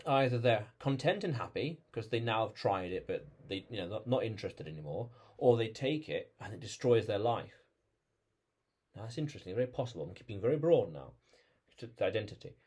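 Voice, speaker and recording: A man's voice: on the low side, very animated, fairly quick, a little mellow, breathy edge. A man vlogging alone, thinking aloud about identity, with frequent restarts and repeated phrases. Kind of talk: reflective solo monologue.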